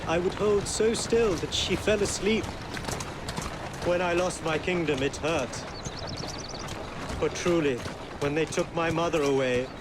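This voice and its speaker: A man playing a gentle, dignified exiled prince from India, speaking in a solemn, measured way in a video game. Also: a little high, noisy background, close to an everyday voice. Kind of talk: cinematic video game acting.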